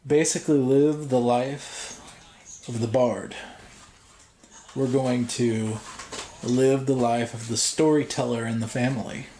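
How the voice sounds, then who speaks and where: A man earnestly introducing his show, speaking in measured phrases and building lists one clause at a time. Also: mid-range voice, steady tone, warm tone. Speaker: vlog host. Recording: echoey room, faint background noise.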